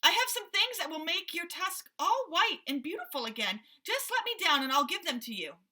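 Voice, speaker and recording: A woman voicing a polite, quick-witted young man caught in trouble, in a storybook reading. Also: on the high side, lively intonation, bright, forceful tone. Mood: eager.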